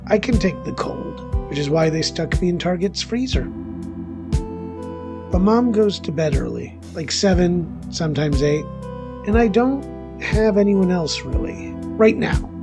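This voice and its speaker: A man acting a resentful, self-deprecating loner confiding in an audio drama. His voice is gravelly with vocal fry, and he rambles defensively in a conversational way over clear background music. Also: sits high, lively intonation, unhurried, full character voice. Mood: defensive, aggrieved. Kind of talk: dramatic first-person monologue, conversational.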